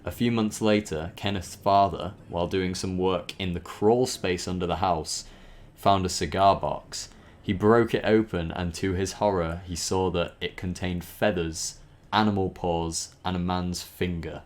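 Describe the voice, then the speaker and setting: A man's voice: low voice, steady tone, a little slow. A man giving an informal dramatic reading of a story, stumbling now and then and adding chatty asides. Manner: stumbles over words and breaks off to comment. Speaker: host reading a backstory aloud.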